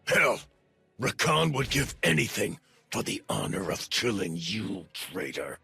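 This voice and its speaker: Menacing game villain, rough hard-edged voice, swinging intonation, arrogant, intimidating. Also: male voice, on the low side, big pitch swings, unhurried, bright, forceful tone, dry recording, soft background music, full character voice. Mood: angry threats. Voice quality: gravelly, rough. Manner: taunting, intimidating. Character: menacing, arrogant male raider enforcer in sci-fi. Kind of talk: video game cutscene acting.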